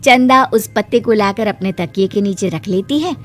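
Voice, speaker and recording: A woman's voice: medium pitch, normal speed. A woman reading scripted storybook narration for a cartoon moral tale. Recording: music behind the voice.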